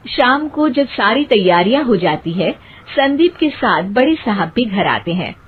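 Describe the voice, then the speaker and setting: Husky woman narrating a cartoon story. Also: fairly high pitch, sing-song, unhurried. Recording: tinny phone-like sound.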